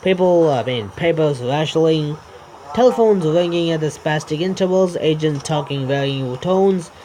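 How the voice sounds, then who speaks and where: A man narrates a visual novel as he plays. His voice sits a little high, and he trips over and repeats words, adding asides. The home recording has faint noise. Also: measured pace, warm tone, rough recording. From India. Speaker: gaming YouTuber reading a visual novel aloud. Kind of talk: read-aloud game narration with asides.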